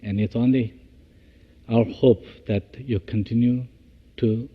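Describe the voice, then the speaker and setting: A man leading a guided meditation, speaking in measured, halting short phrases with long pauses. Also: mid-range voice, fairly even intonation, slightly rough, long reverb tail, phone-quality sound. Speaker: meditation teacher.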